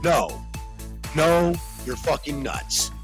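An American man hosting a casual podcast chat, animated and slangy, with a deep voice that jumps in pitch for emphasis. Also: extremely slow, soft background music. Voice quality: deep bassy voice. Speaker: podcast host.

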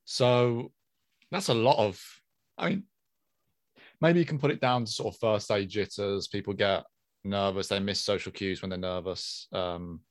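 A man co-hosting a podcast in relaxed conversation, musing aloud with hesitant phrasing. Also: on the low side, unhurried, no room sound, clean studio sound. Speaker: podcast co-host. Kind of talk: casual chat about a sitcom.

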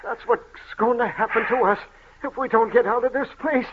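An actor playing a frightened, deferential, whimpering manservant in 1930s radio mystery style. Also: male voice, very high voice, fairly even intonation, fairly quick. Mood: horrified. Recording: lo-fi, faintly noisy 1930s radio recording.

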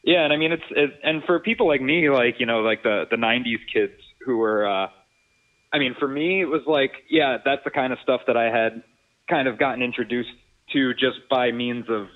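Man in his thirties, interview guest, mid pitch, tinny call audio, hesitant and reflective. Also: normal speed. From Rhode Island. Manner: thoughtful, searching for words, many fillers. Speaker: guest, sound engineer and producer. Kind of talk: casual interview chat. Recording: tinny phone-like remote call.

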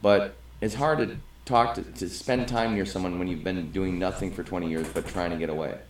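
Low-voiced middle-aged man, roomy echo. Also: fairly even intonation, a little fast, slight background noise. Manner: rambling and earnest, with frequent restarts. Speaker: podcast co-host. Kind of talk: casual conversation. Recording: roomy, echoey sound.

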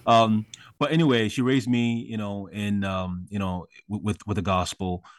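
A man's voice: lowish voice, steady tone, normal speed, no room sound, studio quality. A man from Ghana, a podcast guest, chatting animatedly and emphatically, often restarting his sentences. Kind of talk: casual interview chat.

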